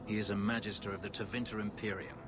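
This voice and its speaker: An actor playing a brooding, bitter fugitive slave warrior in a video game, with grave, formal, measured speech. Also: male voice, lowish voice, steady tone, noisy background, natural voice. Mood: grim resolve.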